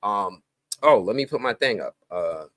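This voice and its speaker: Bright, excitable man hosting a live stream. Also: lowish voice, moderate pace, no room sound, studio quality. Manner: excitable, voice jumps up when greeting people; relaxed slang-heavy talk with many fillers. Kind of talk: casual live-stream chat greeting viewers.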